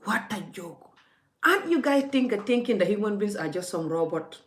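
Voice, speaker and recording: A woman's voice: mid-range voice, animated, normal speed. A woman vlogging in a forthright, scolding way, speaking straight to the viewer as she makes her case. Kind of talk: impassioned vlog rant. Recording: echoey room.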